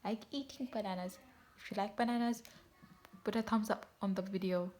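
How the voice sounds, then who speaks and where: A woman's voice: medium pitch, steady tone, a little fast, a little mellow, a little hiss or hum. A woman from Tanzania, teaching math in a casual video. She talks straight to her viewers, correcting herself as she goes. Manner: chatty, self-correcting, talks to viewers directly. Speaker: math tutor.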